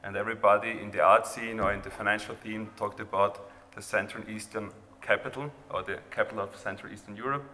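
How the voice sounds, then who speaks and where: A man with an Austrian accent, a native German speaker, giving an informal, halting presentation of his own art project. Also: on the low side, fairly even intonation, sharp tone. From rural Austria near the Hungarian border. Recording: reverberant hall, background noise.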